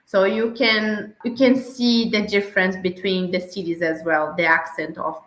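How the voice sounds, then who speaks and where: A young woman from Brazil speaking English with a Brazilian Portuguese accent, chatting as an interview guest in a halting way, with frequent restarts. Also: mid-range voice, flat delivery, a bit bright, roomy sound, rough recording. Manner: halting, restarts often, laughs between phrases. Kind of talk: casual interview chat.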